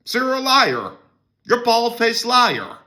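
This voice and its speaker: A man's voice: very high voice, very animated, measured pace, pushed and bright. A man with a Norwegian accent, hosting a solo podcast and ranting in a blunt, scornful way with many restarts. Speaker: podcast host. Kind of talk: opinionated solo podcast rant.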